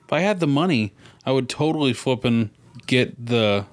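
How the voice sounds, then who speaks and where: Male podcast co-host, average pitch, unscripted and informal, talking as if among friends. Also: animated, moderate pace. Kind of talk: casual chat.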